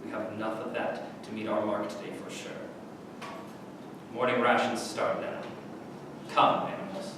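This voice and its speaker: An actor in a staged reading playing a cruel, condescending Nazi camp officer who speaks deliberately with dramatic pauses. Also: male voice, flat delivery, close to an everyday voice. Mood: menacing storytelling. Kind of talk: staged play reading, theatrical monologue. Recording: very echoey room, distant mic, noisy rumble.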